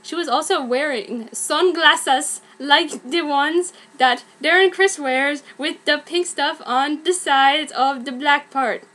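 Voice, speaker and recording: A young woman with a high-pitched voice performs an amateur dramatic reading. She goes slowly and deliberately, delivering clumsy fan writing exactly as written. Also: sharp tone. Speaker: amateur fanfiction reader.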